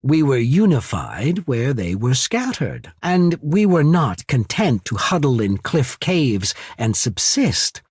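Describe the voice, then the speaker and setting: A man playing a calculating imperial diplomat in a video game, speaking in a measured, formal, persuasive manner with a husky voice. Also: mid-range voice, big pitch swings, no echo, studio quality, full character voice. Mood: proud, unyielding. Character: smooth, calculating male diplomat of an imperial trading power. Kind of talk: scripted video game character dialogue.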